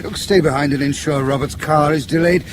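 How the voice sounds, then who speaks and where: An actor playing a suave, ruthless British intelligence chief in a radio drama, urbane and dryly ironic. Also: male voice, fairly high pitch, brisk, background noise, lightly acted. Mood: smug, urbane.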